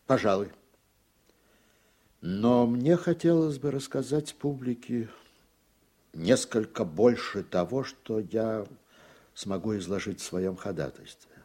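An actor playing a grave, principled middle-aged judge in a radio play, speaking deliberately in a husky voice. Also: male voice, lowish voice, dark tone. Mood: resolved, troubled.

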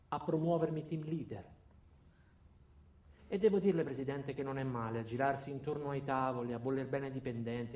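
A man acting a dark-comic monologue as a coldly cruel company man, speaking in a deliberate deadpan. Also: medium pitch. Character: ordinary company man turned coldly cruel, addressing his boss. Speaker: actor performing a solo monologue. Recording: roomy, echoing sound.

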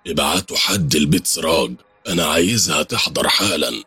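A man's voice: rumbling low, a little fast, bright, forceful tone, husky, no echo, soft background music, full character voice. A man voicing a greedy, gloating pasha in a storybook tale, commanding in Egyptian Arabic.

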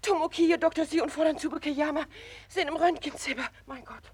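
An actress playing an agitated, dutiful hospital nurse who survived a disaster, breathless and excitable in a 1960s German radio play. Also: female voice, high voice, fairly quick, lightly acted. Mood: alarmed, relieved.

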